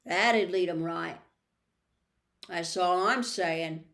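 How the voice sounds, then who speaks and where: A woman voicing a big, rough, loyal and hot-tempered man in a storybook, loud and blunt. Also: lowish voice, very slow, heavily acted. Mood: gruff, deliberate. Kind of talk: storybook character reading.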